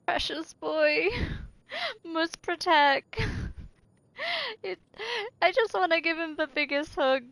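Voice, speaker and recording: A woman's voice: sits high, a bit bright. A woman livestreamer giving casual, playful gaming commentary in a chatty, doting way. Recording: game music behind the voice. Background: video game music.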